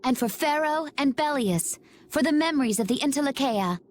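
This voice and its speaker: A woman playing a composed, gently teasing young female warrior in a video game. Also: high-pitched, moderate pace, slight background noise. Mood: resolute. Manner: calm, teasing. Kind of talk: English video game voice acting.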